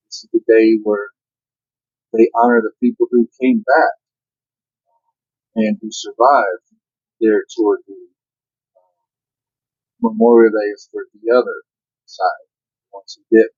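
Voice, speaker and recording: A man's voice: fairly low pitch, animated, slow pace, long pauses, darkish tone, husky, no echo, highs cut off. An older man who drives a truck, chatting casually and giving matter-of-fact safety advice. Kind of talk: casual vlog chat.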